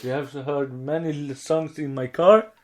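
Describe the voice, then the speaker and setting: A fairly high-pitched man chats on a casual fan podcast. He is an English speaker who talks in short, broken phrases as co-host. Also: fairly even intonation, measured pace, a little mellow. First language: not English.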